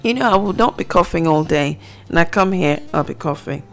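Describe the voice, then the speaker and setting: A woman teaching from the Bible on the radio, reading verses aloud and explaining them in a slow, reflective way. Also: fairly low pitch, animated, gravelly. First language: not Hebrew. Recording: faint guitar music behind. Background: soft guitar music.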